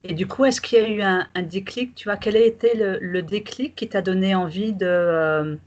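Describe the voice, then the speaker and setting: A woman life coach interviewing a client over a video call, asking questions haltingly with many fillers. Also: mid-range voice, a little mellow, muffled recording. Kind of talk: conversational interview.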